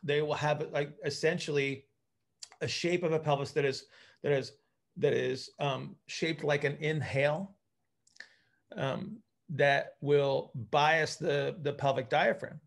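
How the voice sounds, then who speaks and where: Halting man explaining, slightly high voice. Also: fairly even intonation, creaky. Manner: halting, repeats words as he thinks aloud. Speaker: coach and physical therapist hosting a group call. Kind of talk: informal technical explanation. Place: indoor room at home, group video call.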